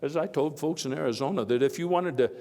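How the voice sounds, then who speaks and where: A man's voice: a little high, mellow, close to an everyday voice. Man playing a folksy old-time statesman in an echoey hall. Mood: earnest. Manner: folksy, halting, with oratorical emphasis and wry asides. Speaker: historical reenactor. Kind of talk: live first-person historical monologue, speech to an audience. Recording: echoey hall with long reverb.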